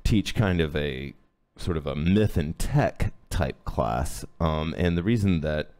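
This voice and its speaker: Man, very deep sick voice, rambling, casual teacher, home webcam. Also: lively intonation, takes it slowly, darkish tone. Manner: chatty, rambling with many fillers. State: sick, voice lowered. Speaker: hoop dance teacher. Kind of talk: live-streamed class, casual talk. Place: home room indoors. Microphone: webcam at a few feet.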